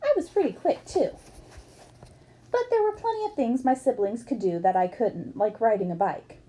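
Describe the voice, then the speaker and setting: A woman's voice: on the high side, expressive, moderate pace, dark tone. A woman reading a book aloud expressively, as for children, acting up the dialogue. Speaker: book reader.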